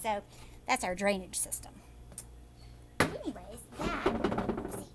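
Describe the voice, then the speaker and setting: A woman's voice: medium pitch, expressive, a little slow, heavy background noise. A woman teacher doing a children's read-aloud, adding chatty explanations in simple words and often checking in with short questions. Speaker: teacher reading a picture book aloud to children.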